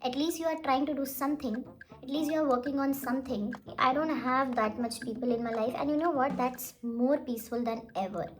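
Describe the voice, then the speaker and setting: A woman's voice: high voice, a little fast. A young woman, a Hindi speaker, giving blunt, rambling advice in a casual makeup vlog while she does her makeup. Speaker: beauty vlogger.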